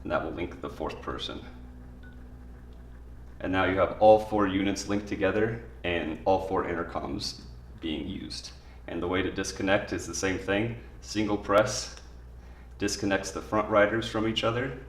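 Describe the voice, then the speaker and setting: Male tutorial presenter, low-pitched, plain informal delivery, echoey room sound with faint background noise. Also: fairly even intonation, normal speed, recorded from a distance. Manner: plain, informal spoken explanation. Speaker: product demonstrator. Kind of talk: instructional product tutorial, step by step.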